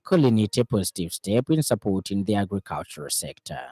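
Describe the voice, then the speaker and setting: A man with a Zambian accent reading a scripted radio news bulletin in a formal, measured way. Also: on the low side, sing-song.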